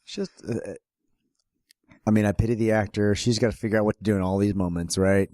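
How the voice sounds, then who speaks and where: A man's voice: on the low side, fairly even intonation, brisk, dark tone, no echo, studio quality. A man co-hosting a film podcast, chatting casually in a rambling, anecdotal way. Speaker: podcast co-host. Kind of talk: casual conversational chat about a film.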